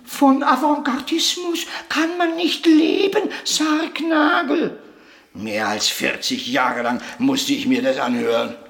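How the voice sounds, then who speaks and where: An actor playing an eccentric, boastful, cantankerous old avant-garde puppeteer in a radio drama, lecturing grandly and theatrically. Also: male voice, very high voice, steady tone, slow, creaky, fairly bright tone, heavily acted. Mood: cantankerous, imperious. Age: elderly. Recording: echoey room.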